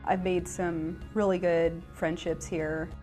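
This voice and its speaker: A woman with a slightly low voice gives a sincere interview as a police dispatcher. Music plays clearly underneath her. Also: level tone, takes it slowly, dark tone. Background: background music.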